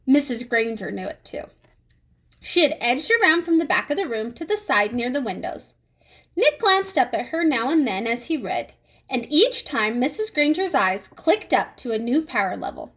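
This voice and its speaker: A woman reads a children's novel aloud. Her voice is high and very animated, giving the scripted narration plenty of expression. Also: moderate pace. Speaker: storybook reader.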